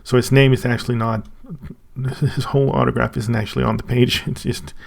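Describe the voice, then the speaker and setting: Man, Swedish native speaker, very gravelly voice, halting, casual unboxing talk. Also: mid-range voice, little pitch movement. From Sweden. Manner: halting, thinking aloud with many restarts. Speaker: channel host.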